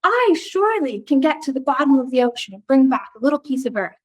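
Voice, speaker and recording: A woman's voice: high voice, very animated, fast talker. A woman voicing a proud male beaver in a told folktale. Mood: boastful.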